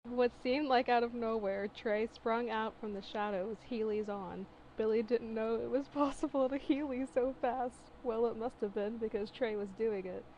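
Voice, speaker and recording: A woman's voice: fairly high pitch, moderate pace, hardly any pauses, a little mellow, highs cut off. Giggly woman reading fiction, noisy recording. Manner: giggly, incredulous, breaks off to comment. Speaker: host reading fan fiction aloud. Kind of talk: casual dramatic reading with mocking asides.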